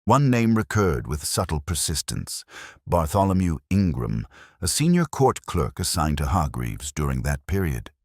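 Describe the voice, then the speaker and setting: Really deep guy narrating a detective story, lively up and down delivery, super dry recording. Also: moderate pace, no room sound. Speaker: audiobook narrator.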